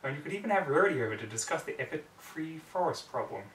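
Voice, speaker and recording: A man's voice: fairly high pitch, sing-song, quick pace, a little hiss or hum. A man narrating a story in a brooding, theatrical way, often in clipped fragments. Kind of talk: dramatic story reading. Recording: roomy echo, distant microphone.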